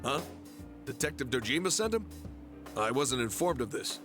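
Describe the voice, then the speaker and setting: A brusque police guard, played by a man in a game dub. He is curt and by the book, at a middling pitch, with music clearly behind. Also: very animated, normal speed, lightly acted. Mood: skeptical. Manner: curt, official. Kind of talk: English video game dub acting.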